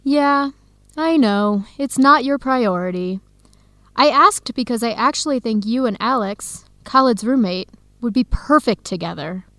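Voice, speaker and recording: Radio drama role: young female researcher, inquisitive and friendly, slightly high voice, dry sound. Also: lively intonation, measured pace, a bit bright, no echo. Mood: chatty. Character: Helen, curious friendly young woman researcher. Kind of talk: educational radio drama dialogue.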